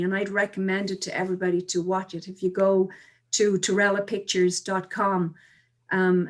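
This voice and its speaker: A woman speaking as a guest expert, explaining and recommending things in an informal, conversational way. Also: mid-range voice. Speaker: guest expert on Irish tradition and poetry.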